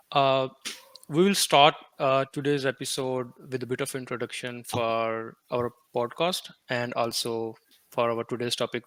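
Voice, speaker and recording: A man's voice: mid-range voice, fairly bright tone, no room sound, rough recording. Pakistani-born man hosting a podcast. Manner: halting, with many fillers, mixing English and Hindi-Urdu. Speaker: podcast host. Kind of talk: casual podcast discussion.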